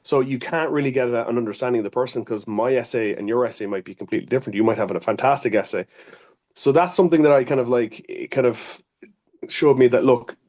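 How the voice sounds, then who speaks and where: A man's voice: mid-range voice, fast talker, darkish tone. An Irish man, an occupational therapist, giving earnest, rambling interview answers full of restarts and fillers.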